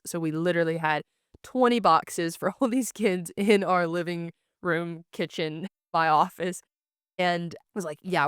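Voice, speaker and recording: A man's voice: fairly high pitch, clean tone, no echo, clean studio sound. A man hosting a podcast in casual conversation, speaking in an earnest, effusive way. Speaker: podcast host.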